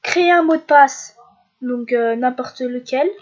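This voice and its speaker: High-voiced young woman with a cold. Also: a little slow, lo-fi sound. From France. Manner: hesitant, many filler sounds and restarts. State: stuffy with a cold. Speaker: YouTuber. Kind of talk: screen-recorded tutorial narration.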